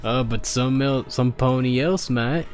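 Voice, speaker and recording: A man voicing a young, matter-of-fact pony adventurer in a storybook reading, with a deliberate, halting delivery. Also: medium pitch, darkish tone, soft background music, natural voice. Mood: friendly arguing.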